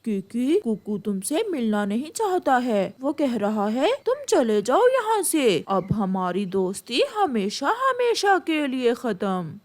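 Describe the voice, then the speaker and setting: A woman's voice: a little high, very animated, slow pace, hardly any pauses. A woman voicing a sly, scheming young male animal schoolmate in a children's cartoon, sneaky and falsely friendly. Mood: falsely concerned. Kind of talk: children's cartoon story voice acting.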